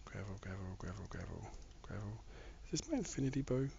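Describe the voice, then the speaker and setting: Gravelly Australian man streaming a game. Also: lowish voice, animated, brisk, mellow. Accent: Australian, somewhat mixed. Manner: rambling, chats with viewers while building. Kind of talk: casual live-stream chat while playing. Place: home room. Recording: dull lo-fi mic with faint noise.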